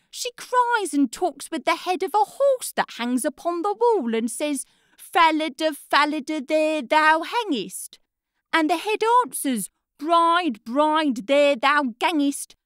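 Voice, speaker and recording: A woman's voice: high-pitched, sing-song, a little slow, fairly bright tone, dry recording, clean studio sound, voice pushed up, full character voice. A woman voicing a sulky young goose boy who complains to the king in a whiny tone. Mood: complaining.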